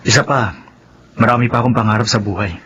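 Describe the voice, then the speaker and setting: A man's voice: medium pitch, quick pace, lightly acted. A male actor portrays a polite, humble employee in a Filipino radio serial. His delivery is hesitant and respectful in a mid-range voice, on a slightly noisy, muffled recording. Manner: deferential, hesitant. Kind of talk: Filipino radio drama acting. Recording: dull radio sound, faint noise.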